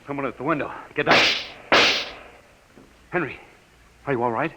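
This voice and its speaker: A man's voice: medium pitch, moderate pace, natural voice. An American actor playing an urgent, commanding man in a 1950s radio drama, giving clipped orders and reassurance. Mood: urgent alarm. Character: urgent, commanding man secretly leading a fugitive into hiding. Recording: noisy, narrow old 1950s radio recording.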